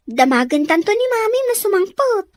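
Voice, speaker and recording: Talkative female aunt role played by a woman, lively intonation, gossipy, close dry drama sound. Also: very high-pitched, moderate pace, no echo. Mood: informal, explaining. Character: talkative auntie answering a child's questions. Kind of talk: Ilocano radio drama acting.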